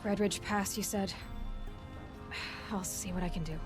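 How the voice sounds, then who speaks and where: A headstrong young huntress played by an actress in a video game. She speaks at a medium pitch, curt and matter-of-fact, with music clearly underneath. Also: fairly even intonation, measured pace, lightly acted. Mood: reluctant. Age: young adult. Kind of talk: video game cutscene acting.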